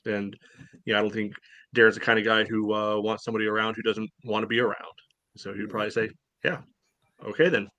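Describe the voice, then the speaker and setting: A man with a low, bright and tense voice co-hosts a sports podcast. He speaks very flatly and evenly, and the sound is dry and close. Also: fast, no echo. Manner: even-keeled, reasonable. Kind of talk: casual sports chat.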